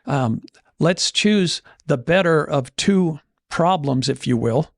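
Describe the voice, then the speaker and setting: Guy doing motivational money coaching on a podcast, slightly high voice, lots of gravelly fry. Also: lively intonation, takes it slowly, dry recording, studio quality. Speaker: podcast host and financial coach. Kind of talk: conversational motivational money talk.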